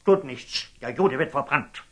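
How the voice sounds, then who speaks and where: Husky, swooping fanatical churchman. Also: medium pitch, animated, unhurried. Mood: outraged. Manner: pompous, declamatory, emphatic. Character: zealous, scheming, fanatical old churchman, shifting from outrage to fawning. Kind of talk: theatrical German radio drama acting of classic stage verse.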